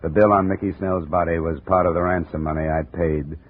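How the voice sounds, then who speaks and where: A tough insurance investigator from a 1950s radio drama, played by a man. His low voice is terse and matter-of-fact as he narrates and questions. The old recording is muffled and noisy. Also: steady tone, a little fast, lightly acted. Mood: dry, measured. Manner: clipped, hard-boiled, matter-of-fact.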